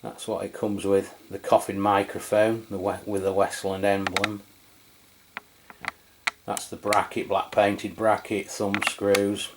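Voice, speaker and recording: Middle-aged English male reviewer, lowish pitch, very unhurried, halting and conversational. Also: noisy background. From England. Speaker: radio review channel host. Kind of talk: casual product review commentary.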